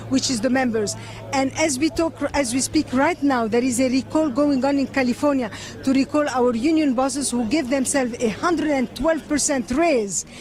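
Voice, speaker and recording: Egyptian-accented woman interviewed on the street, earnest and halting, high-pitched. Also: darkish tone. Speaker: convention attendee. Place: outdoors on a city street.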